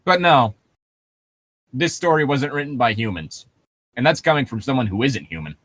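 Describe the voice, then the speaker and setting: A man's voice: medium pitch, animated, normal speed, a bit bright, no room sound. A man hosting a casual read-along, switching between reading a story and sarcastic, nitpicking, sometimes profane commentary. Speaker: host reading a story aloud with mocking commentary.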